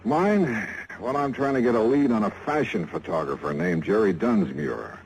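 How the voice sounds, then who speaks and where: An actor playing a wry, hard-boiled American insurance investigator in 1950s radio noir style, clipped and brisk. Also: male voice, lowish voice, lively intonation, a little hiss or hum, poor audio. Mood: matter-of-fact. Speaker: lead actor in radio detective drama. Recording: vintage 1950s radio recording.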